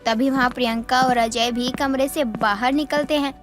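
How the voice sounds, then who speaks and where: A woman's voice: high-pitched, steady tone, normal speed. A woman narrator reading a scripted moral story. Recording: music under the voice.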